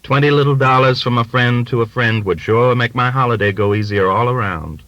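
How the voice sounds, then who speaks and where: A man's voice: mid-range voice, a bit bright, no room sound, highs cut off, pitched above the performer's own voice, lightly acted. A man voicing a plump, middle-aged hustler in an audiobook, jovial and teasing, with a folksy, cajoling way of talking. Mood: wheedling. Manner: folksy, ingratiating, drawn-out cajoling.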